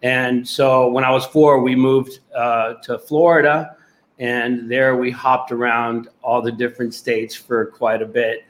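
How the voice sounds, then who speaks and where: A man's voice: mid-range voice, level tone, a little slow. A man from Puerto Rico, a podcast guest telling his life story in an earnest, rambling way. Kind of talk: casual interview.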